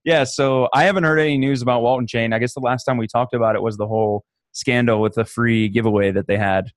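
Male co-host with a Pittsburgh accent, mid-pitched, loose and profane, dry close mic. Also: quick pace, no echo. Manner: profane, joking and animated. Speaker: podcast co-host. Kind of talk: casual podcast banter.

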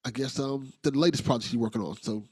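A man's voice: medium pitch, fast, darkish tone, slightly rough, no echo. A man hosting a podcast, chatting casually with his guest and hyping her up with slang. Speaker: podcast host. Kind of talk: casual interview chat.

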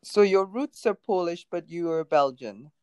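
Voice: mid-range voice, animated, a little slow, dark tone, smooth, no room sound. An interviewer hosting a casual conversation, asking questions and giving short affirming backchannels. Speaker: interviewer, podcast host.

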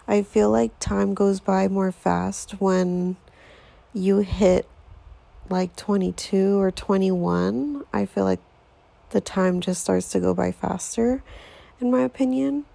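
Woman, 20s, podcast host; unhurried rambling chat with a fry-heavy voice. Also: mid-range voice, steady tone, mellow, no echo. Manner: chatty, rambling, lots of fillers. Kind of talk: casual solo podcast intro.

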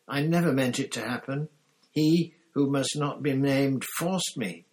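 A man voicing a cowardly, grovelling traitor in a storybook, stammering and whining as he begs with a rasping, gasping voice. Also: mid-range voice, measured pace, pitched above the performer's own voice, natural voice. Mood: terrified pleading. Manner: stammering, repeating pleas.

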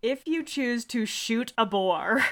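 A woman's voice: on the high side, lively intonation, takes it slowly. A woman podcast host casually recapping and reading from a book in a wry, amused way. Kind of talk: casual book discussion with plot recap and read-out passages.

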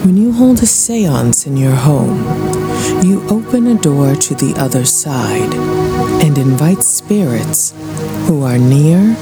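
Loud music and rain, woman acting female spirit medium, radio drama, low pitch, unhurried, soothing, solemn. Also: expressive, exaggerated voice. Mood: calm, reassuring. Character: calm, reassuring female spirit medium leading a seance.

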